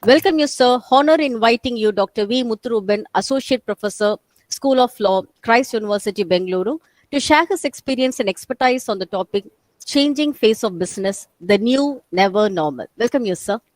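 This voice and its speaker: A woman's voice: a little high, lively intonation, fairly quick, no room sound, rough recording. A woman who anchors a formal academic webinar, speaking in polite, ceremonial phrases. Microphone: video call.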